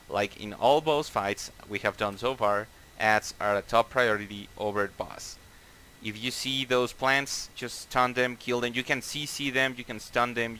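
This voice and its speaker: Man, Mexican accent, bright forceful tone, gaming raid leader on headset, loose and sweary. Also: lowish voice, slight background noise, low-quality recording. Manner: casual, profane, many fillers and restarts. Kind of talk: explaining boss tactics over voice chat.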